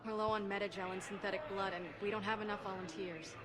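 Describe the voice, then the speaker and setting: Woman voicing a worried alien lady doctor in a video game, earnest, persuasive, medium pitch. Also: little pitch movement, fast, a loud crowd, natural voice. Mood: concerned. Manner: earnest, explanatory. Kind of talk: video game character acting.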